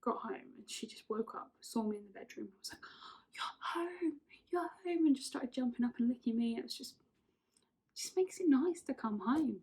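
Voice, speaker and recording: A woman's voice: a little high, animated, normal speed, darkish tone, breathy edge. A woman in her twenties, chatting casually and rambling through get-to-know-me questions, often correcting herself. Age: 20s. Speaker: vlogger.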